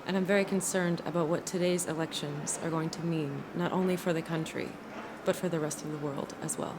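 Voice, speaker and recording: A young American woman giving a measured, earnest spoken essay from a theater stage, as music plays behind her. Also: low voice, steady tone, background noise. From United States. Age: young adult. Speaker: violinist and speaker.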